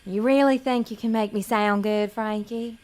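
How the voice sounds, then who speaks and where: A woman's voice: fairly high pitch, fairly even intonation, normal speed, a little mellow, natural voice. A woman playing an innocent, needy young pageant hopeful from the country in a comedic audio drama, earnest, naive and pleading. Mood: doubtful.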